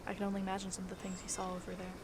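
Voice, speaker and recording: A woman's voice: mid-range voice, monotone, very fast, very noisy, close to an everyday voice. A woman playing a concerned grown daughter with an earnest, conversational manner in an audio drama. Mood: reflective. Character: concerned grown daughter recalling her late father. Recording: clear background ambience.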